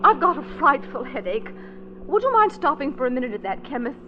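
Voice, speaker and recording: An actress playing an anxious, secretive wife in a 1940s radio drama, polite, nervous and evasive. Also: female voice, high voice, very animated, a little fast. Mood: nervous, pleading. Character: anxious, secretive wife hiding evidence from police. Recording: dull, noisy old radio recording.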